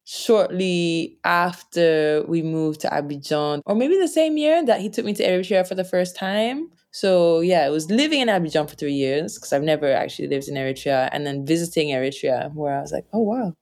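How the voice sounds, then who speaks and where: A young woman answering interview questions, telling personal stories in a relaxed, chatty way and laughing often. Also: moderate pace, few pauses. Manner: animated, laughs often, jumps up in pitch when quoting others. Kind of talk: reflective conversational storytelling.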